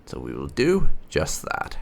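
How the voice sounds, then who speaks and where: A man hosting a video game playthrough, commenting and reading out dialogue choices in roleplay, often halting to think aloud. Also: very deep voice, huge pitch swings, creaky. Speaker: gaming channel host.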